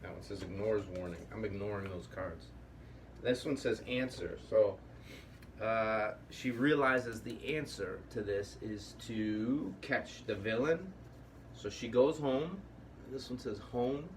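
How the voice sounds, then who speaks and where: A man's voice: on the low side, slow. Casual, rambling man, slightly distant from mic. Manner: rambling, thinking aloud. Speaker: puppetry workshop host. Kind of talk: casual, improvised tutorial. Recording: distant mic with faint room noise.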